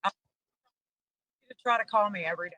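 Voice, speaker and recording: A woman's voice: medium pitch, fast, sharp tone, no room sound, poor audio. A middle-aged woman talking casually and personally on a jail video call. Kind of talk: casual personal phone conversation.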